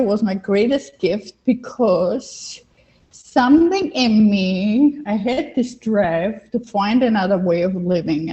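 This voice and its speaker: A woman's voice: mid-range voice, mellow. An older woman from Vienna with an Austrian accent, a native German speaker, telling her personal story as an interview guest, repeating phrases for emphasis. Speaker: interview guest, life coach. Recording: dull, lo-fi online call audio.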